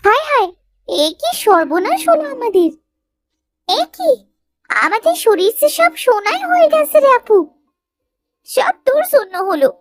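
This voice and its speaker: Woman playing an excitable, very high-pitched young cartoon girl. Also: dry recording, heavily acted. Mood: panicked. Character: young village girl sisters, excitable, easily frightened. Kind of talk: cartoon story dialogue.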